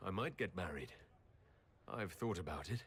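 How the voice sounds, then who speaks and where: A man playing a composed, good-humoured heroic leader in a video game, measured and dryly polite. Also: low voice, lively intonation, a bit bright, slight background noise. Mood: guarded, awkward. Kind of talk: video game cutscene acting.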